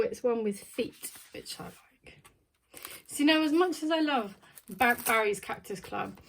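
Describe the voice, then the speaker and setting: A woman's voice: a little high, slight background noise, rough recording. A woman chatting casually while unboxing a plant subscription box, thinking aloud with plenty of ums. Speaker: plant vlogger.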